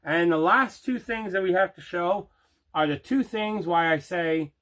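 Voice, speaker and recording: A man with a slightly high voice hosts an unboxing video about his collection. He chats casually and enthusiastically, repeating words for emphasis. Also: measured pace, fairly bright tone. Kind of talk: casual unboxing chat to camera.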